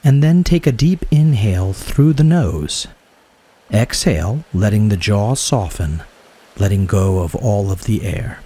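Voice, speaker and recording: A man guiding a yoga nidra meditation in a soothing, unhurried way, speaking in short phrases. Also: fairly low pitch, expressive, mellow, husky. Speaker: guided meditation teacher.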